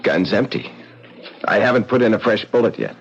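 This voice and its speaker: An actor playing a sardonic, mocking painter in a vintage radio mystery. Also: male voice, on the low side, animated, a little fast, husky. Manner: mocking and sly, chuckling often. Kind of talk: vintage radio drama acting. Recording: dull old radio broadcast.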